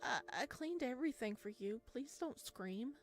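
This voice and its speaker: A woman's voice: a little high, lively intonation, normal speed, soft background music, voice pushed up. Woman voicing a clingy, pleading creature stalker. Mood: timid pleading. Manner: pleading, hesitant, stammering. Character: clingy, eerie male creature stalker, meekly eager to please.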